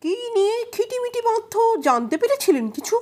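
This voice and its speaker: A woman's voice: very high-pitched, big pitch swings, fairly quick, a little mellow, pitched above the performer's own voice. A woman voicing a probing male defence advocate in an audio story, questioning witnesses in a formal, insistent, pointed way. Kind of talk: dramatized courtroom questioning.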